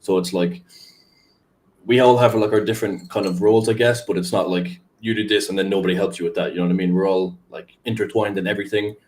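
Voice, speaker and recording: Young male guest, deep monotone, loose filler-heavy chat. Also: quick pace. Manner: rambling, filler-heavy, laid-back. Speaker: guest, crypto community manager. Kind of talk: casual podcast chat.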